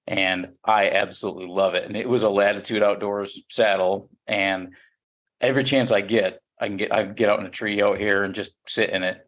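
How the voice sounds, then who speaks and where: A man co-hosting a podcast, asking friendly questions with frequent stumbles and restarts. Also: fairly low pitch. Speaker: podcast co-host. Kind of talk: casual podcast chat.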